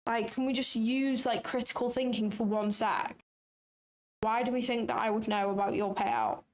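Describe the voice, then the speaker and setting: A woman's voice: a little high, animated, normal speed. Blunt, sarcastic young woman streamer. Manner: blunt, sarcastic, swears freely. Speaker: futures trading livestreamer. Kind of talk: casual livestream chat.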